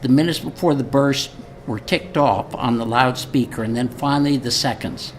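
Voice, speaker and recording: A man's voice: mid-range voice, moderate pace. Older man speaking over background noise. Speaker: speaker at a memorial event. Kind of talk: informal speech with reading from a letter. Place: live event before an audience. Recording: live event recording with noticeable background noise.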